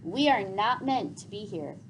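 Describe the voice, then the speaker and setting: A woman reads as a steadfast warrior woman in a storybook. She speaks formally and firmly, a little slowly, with a lively melody. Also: medium pitch, lightly acted. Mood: resolute. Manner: firm, formal. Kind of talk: storybook character reading. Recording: faintly noisy classroom recording.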